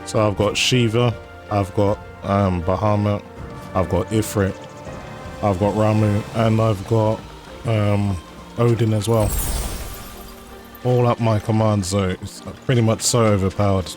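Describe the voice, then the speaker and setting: A man giving casual gameplay commentary in a relaxed way, with fillers. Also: fairly low pitch, a little slow, long pauses. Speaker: gaming channel host. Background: game music.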